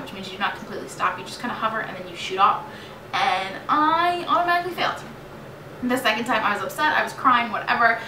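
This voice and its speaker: A young American woman in her late teens telling a story in a casual, animated, chatty vlog style. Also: medium pitch. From New York State, United States. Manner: animated, chatty, dramatizes remembered exchanges. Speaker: vlogger. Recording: roomy echo, distant mic, clearly noisy.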